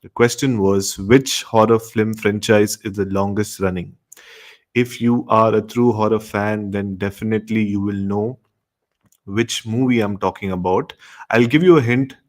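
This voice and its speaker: Indian guy with a lowish voice hosting a late night horror livestream. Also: steady tone, normal speed. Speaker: host of a horror story show. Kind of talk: live stream radio show hosting.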